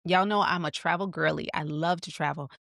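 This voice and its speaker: A woman's voice: low-pitched, steady tone, a little fast, gravelly, a bit bright, dry recording, studio quality. A woman in her fifties hosting a motivational podcast, upbeat and coaching, speaking straight to her listeners in a friendly, familiar way. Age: 50s. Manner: upbeat, coaching, talks straight to listeners with "sis", "honey", "y'all". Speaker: podcast host.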